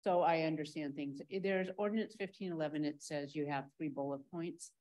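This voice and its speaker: A woman's voice: deep voice, fairly quick, darkish tone, low-quality recording. A councilmember, a woman, asking staff questions in a direct, conversational way, thinking aloud as she goes.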